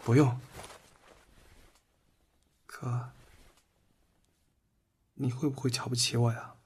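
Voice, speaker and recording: Man acting a quiet, self-doubting young man. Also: mid-range voice, little pitch movement, slow pace, breathy edge. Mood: vulnerable, gentle. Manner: quiet, hesitant, restrained. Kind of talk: audio drama acting. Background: background music.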